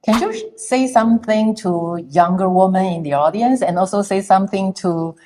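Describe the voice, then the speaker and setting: A woman in her sixties hosting an interview, asking gentle questions and answering with short, encouraging replies. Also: medium pitch, moderate pace. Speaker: interviewer and host.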